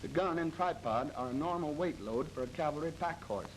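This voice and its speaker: An American man narrates a military training film in a formal, measured, matter-of-fact 1930s instructional style. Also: fairly high pitch. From United States. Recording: noisy old 1930s film soundtrack.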